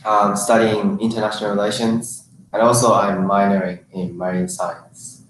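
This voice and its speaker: A young man from Japan, a senior student speaking carefully with pauses on a panel. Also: on the low side, little pitch movement, a bit bright, far from the mic. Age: young adult. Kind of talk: casual panel chat. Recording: very echoey room with faint hiss.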